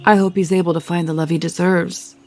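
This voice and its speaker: An actress playing a sardonic, worldly older wife in an audio soap opera, wry and pointed. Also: female voice, lowish voice, little pitch movement, brisk, darkish tone, faint music behind, natural voice. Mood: reflective, tender.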